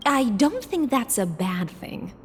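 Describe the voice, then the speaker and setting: A woman's voice: on the high side, sing-song, takes it slowly, a little hiss or hum. An actress playing a loyal, earnest female knight who speaks with courtesy. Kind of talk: video game voice acting. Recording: game soundtrack behind.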